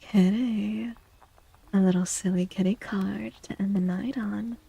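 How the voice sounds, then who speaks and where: A woman streaming a soft-spoken ASMR tarot reading close to the mic, often slipping into a breathy whisper, with a playful, cutesy, affectionate manner. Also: mid-range voice, fairly even intonation, a little slow, no room sound. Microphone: close microphone.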